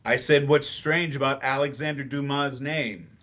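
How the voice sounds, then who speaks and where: An actor in a live play reading, playing a weary writer turned remedial teacher with a dry, hesitant manner. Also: male voice, medium pitch, steady tone, moderate pace, fairly bright tone, lightly acted. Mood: dry, exasperated.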